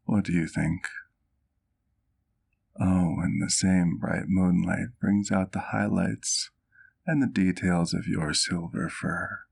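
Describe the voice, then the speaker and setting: Slow, deep, creaky gentleman vampire, acted by a man. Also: steady tone, full character voice. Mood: proud, tender. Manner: genteel, formal diction, affectionate. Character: doting, courteous male vampire doctor, gently teasing. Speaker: ASMR roleplay performer. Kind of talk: intimate ASMR roleplay acting.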